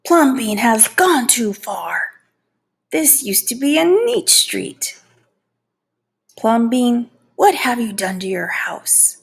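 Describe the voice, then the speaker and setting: A woman voicing scandalized, fussy storybook neighbors, exclaiming in drawn-out, emphatic phrases. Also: on the high side, big pitch swings, creaky, husky, heavily acted. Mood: indignant.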